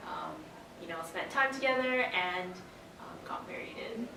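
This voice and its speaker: A woman's voice: fairly high pitch, animated, moderate pace, pushed and bright. A young woman giving casual, thoughtful interview answers with some hesitation in her phrasing. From Canada. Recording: echoey, distant microphone, noisy room.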